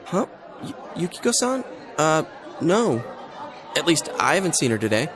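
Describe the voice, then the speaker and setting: Lively, earnest teenage schoolboy. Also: male voice, on the high side, normal speed. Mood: hesitant. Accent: American. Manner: casual, expressive, earnest. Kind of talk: English video game voice acting. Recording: background music and crowd chatter behind.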